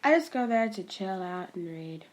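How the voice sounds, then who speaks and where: A woman's voice: medium pitch, animated, normal speed, a little hiss or hum, lightly acted. A woman playing an apologetic, forward young library visitor in an amateur radio drama. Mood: friendly.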